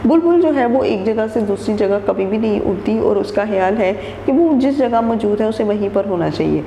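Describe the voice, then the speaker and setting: A woman's voice: mid-range voice, lively intonation, normal speed, mellow. A woman teacher reading a textbook passage aloud and explaining each sentence again in simpler words. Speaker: Urdu teacher. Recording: echoey room with long reverb and faint noise.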